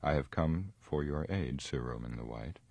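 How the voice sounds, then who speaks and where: A man voicing a wise, weary old wizard in a dramatic fantasy audiobook, speaking in a grave, firm, measured way. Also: extremely low pitch, creaky, darkish tone, no echo, full character voice. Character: weary, wise old male wizard recounting his journey.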